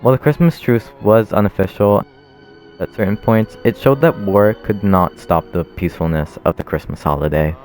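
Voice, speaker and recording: A young man reads a scripted explanation in a low voice. Soft music plays behind him. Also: normal speed.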